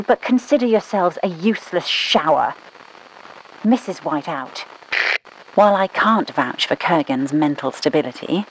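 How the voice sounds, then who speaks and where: A woman voicing a brisk British spymaster who gives mission orders over the radio in a dry, commanding manner, with a British accent. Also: fairly low pitch, a little hiss or hum, poor audio. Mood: scolding. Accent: British English. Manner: clipped, dry, commanding, scolding. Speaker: mission controller. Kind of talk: game voice lines.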